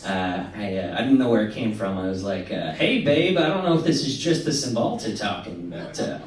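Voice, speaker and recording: A man's voice: lowish voice, animated. Echoey, far-off male stand-up comic. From Missouri, United States. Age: 20s. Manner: halting, self-deprecating delivery. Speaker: stand-up comedian. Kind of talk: stand-up comedy set. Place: indoor comedy venue. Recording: echoey club set from far off, crowd laughter. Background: audience laughter.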